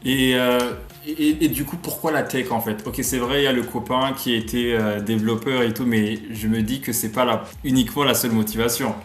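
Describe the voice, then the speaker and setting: A man's voice: mid-range voice, steady tone, brisk, few pauses, reverberant. A young man hosting a podcast, asking questions in a hesitant way with many fillers and restarts. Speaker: podcast host. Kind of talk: interview questions.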